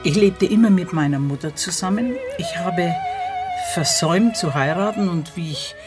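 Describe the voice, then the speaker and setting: An older woman reflecting in a documentary interview, searching haltingly for her words and posing questions to herself. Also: on the low side, animated, over loud music.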